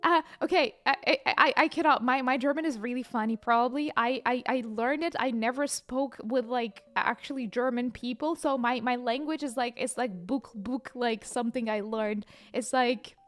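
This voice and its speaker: A young woman, a livestreamer chatting casually and reading viewer comments aloud, excitable and hesitant in her English. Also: on the high side, moderate pace, few pauses. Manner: chatty, excitable, bursts of squeals and laughter; hesitant non-native English with many fillers.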